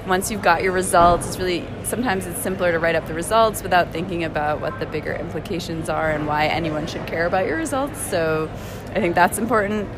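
A woman, a computer science professor, giving clear and measured advice in an interview. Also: on the low side, noisy background. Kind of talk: interview answers.

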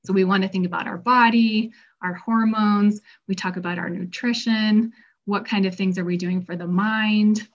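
A middle-aged woman explains stress and hormones in a conversational way, her voice a bit strained because she is losing it. She keeps a fairly flat, even delivery at a middle pitch. The recording sounds dull and lo-fi. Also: normal speed. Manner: conversational, acts out patient quotes. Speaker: health practitioner. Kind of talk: informal health presentation. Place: Colorado.

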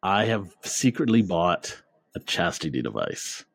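A man's voice: deep voice, sing-song, unhurried, creaky, no room sound. A man hosting a podcast in casual, jokey chat, laughing often and tripping over his words. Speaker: podcast host.

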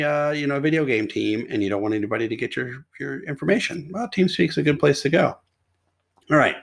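A middle-aged man with a sore, hoarse throat, hosting a podcast and reviewing chat apps in a rambling, casual way full of restarts and asides. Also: medium pitch. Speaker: podcast host. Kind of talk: casual tech review monologue.

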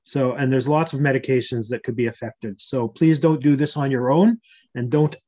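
A man in his early sixties, an interview guest, explaining health matters informally in a measured way. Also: mid-range voice, fairly even intonation, warm tone.

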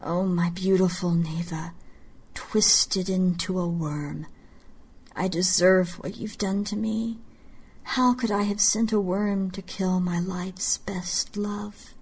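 A woman's voice: medium pitch, fairly even intonation, slow pace, darkish tone, a little hiss or hum, close to an everyday voice. A woman voicing a grieving, scheming queen who speaks in a pleading whisper. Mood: whispered regret. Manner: pleading, intimate.

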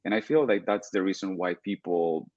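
A young man speaks in a very low register, choosing his words with care and leaning on "uh" and "you know" as he thinks. The sound is dry and a little muffled, with no room around it. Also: darkish tone, no echo. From El Salvador. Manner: earnest and deliberate, many "uh" and "you know" fillers. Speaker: guest, political activist. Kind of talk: podcast interview.

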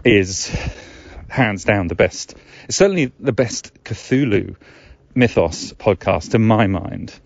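A man's voice: on the low side, darkish tone. A man hosting a podcast alone, talking in a casual, chatty, digressive way and often correcting himself. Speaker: podcast host. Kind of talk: casual rambling solo podcast talk. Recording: slightly dull, lo-fi sound.

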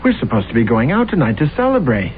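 An actor playing a boastful, self-deluded husband who talks with brash bluster, in a radio drama. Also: male voice, medium pitch, wildly swooping pitch, quick pace. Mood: cheerful. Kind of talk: 1970s radio drama acting. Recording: tinny old radio broadcast with faint hiss.

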